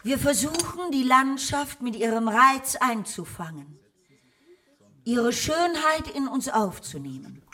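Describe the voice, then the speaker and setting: A woman's voice: sits high, fairly bright tone. An actress playing an overwrought female amateur poet, reciting solemnly and theatrically in a satirical radio drama. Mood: declamatory. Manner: declamatory, theatrical.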